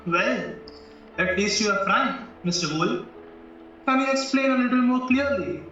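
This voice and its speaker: Man acting a shrewd, high-voiced lawyer, very echoey. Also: pushed and bright. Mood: measured, shrewd. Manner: formal, deliberate, questioning. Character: shrewd, probing male defence lawyer, formal and measured. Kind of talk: stage-style courtroom audio drama acting. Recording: very echoey, distant, dull, music behind.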